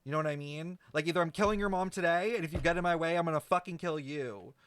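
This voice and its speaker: A male streamer with a fairly high voice and a dry, echo-free sound. He comments casually on a game, rambling and thinking out loud with loose, sweary phrasing. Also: quick pace, smooth. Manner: rambling, thinking aloud, profane.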